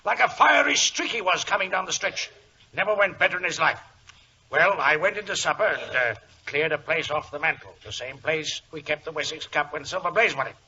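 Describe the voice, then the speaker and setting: Excitable high-pitched colonel, very sharp-voiced. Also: male voice, brisk, full character voice. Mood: earnest, recounting. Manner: blustery, emphatic. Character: gruff, excitable, blustering male colonel and racehorse owner. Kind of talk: 1940s radio drama acting. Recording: muffled, hissy 1940s radio recording.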